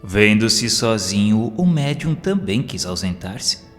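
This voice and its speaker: Lowish-voiced Brazilian male narrator in long reverb. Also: sing-song, slow. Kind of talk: scripted audiobook narration. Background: music.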